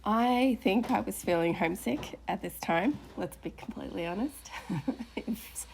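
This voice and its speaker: A woman's voice: medium pitch, measured pace, creaky, a little mellow, slight background noise, poor audio. A woman from Australia with an Australian accent, chatting casually through a voice-over with frequent laughs. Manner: chatty, laughs often, self-deprecating. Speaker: furniture flipping creator.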